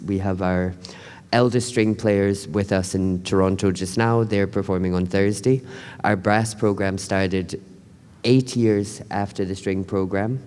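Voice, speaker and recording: A man speaking on a panel about his music program, relaxed and chatty with frequent ums. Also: lowish voice, clean tone. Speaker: panelist, artistic director. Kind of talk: panel talk. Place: conference hall. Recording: hall reverb.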